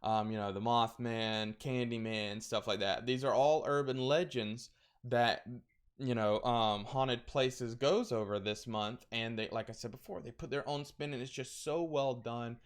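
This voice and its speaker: A man talks in a loose, rambling way, full of "like" and false starts. His voice is crackly, yet it comes through smooth and clean. He sits at a middle pitch for a man. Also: fairly even intonation, measured pace. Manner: rambling, frequent fillers and restarts. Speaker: streamer recommending horror content. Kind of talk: casual recommendation chat.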